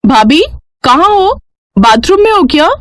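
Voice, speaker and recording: A woman voicing a suspicious, scheming young sister-in-law in a storybook tale, excitable and nagging. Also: sits high, measured pace, no room sound, clean studio sound, pitched above the performer's own voice. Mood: urgent. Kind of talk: Hindi moral storybook character voice.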